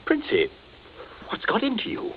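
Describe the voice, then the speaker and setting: Bluff neighbourly British military man, clipped and animated; old radio play, muffled with faint noise. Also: very high-pitched, normal speed, full character voice. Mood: startled. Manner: clipped, blustering, repeats himself. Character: bluff, hearty British captain, jealous neighbourly suitor. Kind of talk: old-time radio drama acting. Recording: dull, noisy vintage radio recording.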